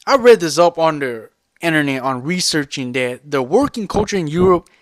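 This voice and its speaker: A vlogger speaks with a fairly high-pitched voice as he rants at the camera. His delivery is rambling and emphatic, circling back on the same points and peppered with curses. The sound is dry, with almost no room echo. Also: lively intonation, normal speed. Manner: rambling, repetitive, profane and emphatic. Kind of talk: unscripted rant to camera.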